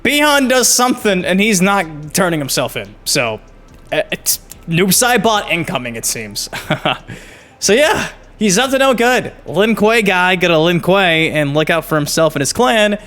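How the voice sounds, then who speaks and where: A man hosting a gaming channel, giving excited casual commentary and swearing freely. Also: sits high, a little slow, faint music behind. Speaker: gaming YouTube host. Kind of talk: casual commentary on game clips.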